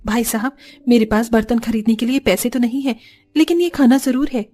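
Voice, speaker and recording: Woman playing a high-voiced humble, earnest mother. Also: brisk, mellow, natural voice. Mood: gentle concern. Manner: earnest, polite. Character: kind, humble, devout poor young mother. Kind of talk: Hindi cartoon story voice acting.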